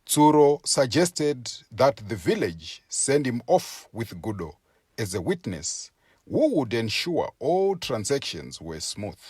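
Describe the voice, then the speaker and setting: Guy telling a kids folktale, slow dramatic delivery, slightly husky, really dry close recording. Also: mid-range voice, no room sound. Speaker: storyteller reading a written folktale. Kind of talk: expressive scripted story narration for children.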